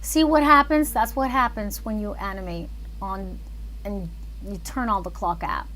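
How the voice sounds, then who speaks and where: A woman's voice: mid-range voice, normal speed, fairly bright tone, slight background noise, poor audio. A woman chatting casually and thinking aloud as she hosts an animation livestream. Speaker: animator and filmmaker streaming live.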